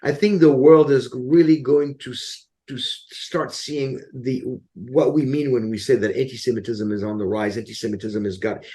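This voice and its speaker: A man hosting his show, talking in a halting, casual way with many restarts and repeated words. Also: mid-range voice, steady tone, dark tone. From Dallas area. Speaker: show host. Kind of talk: casual conversation.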